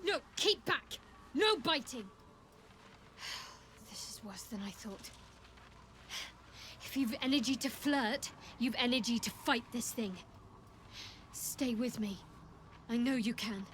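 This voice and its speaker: An actress voicing a guarded young half-elf cleric in a fantasy game, dry and soft-spoken, tender yet resolute. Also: female voice, fairly high pitch, expressive, takes it slowly, long pauses, a little hiss or hum. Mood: firm, concerned. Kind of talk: video game dialogue acting. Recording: music behind the voice.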